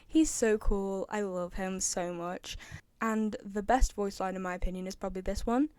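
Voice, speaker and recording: A woman's voice: mid-range voice, steady tone, normal speed. A woman chatting casually and giving opinions as a fan commentator, rambling and repeating herself for emphasis. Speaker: fan commentator ranking game voice lines.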